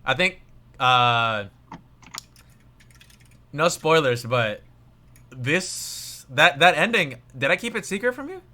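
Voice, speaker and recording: A young man casually reviewing songs on a livestream, rambling with lots of fillers and trailing off quietly. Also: on the high side, animated, slow, pauses often. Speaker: music reviewer, streamer.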